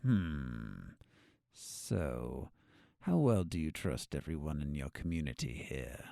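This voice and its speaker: An actor in an audio drama playing a scheming, sardonic captive doctor with a condescending, drawn-out delivery. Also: male voice, very deep voice, big pitch swings, gravelly, warm tone, no echo, studio quality, heavily acted. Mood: mocking.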